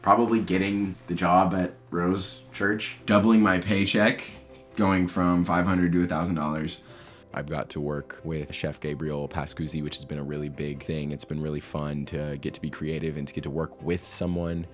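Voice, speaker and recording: A twenty-year-old man talks through questions about his own year in an easy, candid way, poking fun at himself. His voice sits low. Soft music plays faintly underneath. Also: level tone, moderate pace. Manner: candid, chatty, self-deprecating. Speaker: vlogger answering yearly self-interview questions. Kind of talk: casual self-interview.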